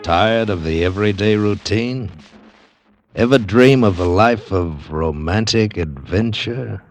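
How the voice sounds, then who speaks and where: A man's voice: on the low side, measured pace. A man giving a portentous, dramatic introduction in 1940s radio style. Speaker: radio show announcer. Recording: muffled old radio, music behind.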